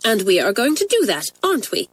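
A woman voicing a bold, impulsive schoolgirl in a storybook, with a brisk, forthright manner. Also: mid-range voice, wildly swooping pitch, breathy edge, no echo, voice pushed up. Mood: bold, urging.

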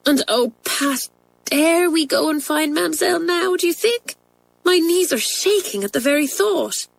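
A woman's voice: mid-range voice, a little slow, voice pushed up, lightly acted. A woman voicing an anxious, sleepy schoolgirl in a storybook, plaintive and hesitant. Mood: fearful.

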